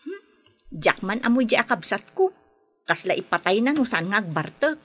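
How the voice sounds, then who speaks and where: An actress playing a frank, concerned younger sister in an Ilocano radio drama, speaking in an earnest, admonishing way. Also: female voice, medium pitch, big pitch swings, normal speed, a bit bright, husky. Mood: concerned, confiding. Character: frank, concerned younger sister scolding her drinker brother.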